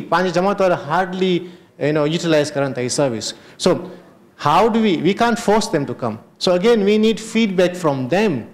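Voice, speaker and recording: A middle-aged man with a Kenyan Memon accent and a fairly high voice, chatting loosely as he moderates a health panel. He holds a handheld mic in a large hotel conference hall and switches between English and Kutchi phrases. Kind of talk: unscripted panel discussion.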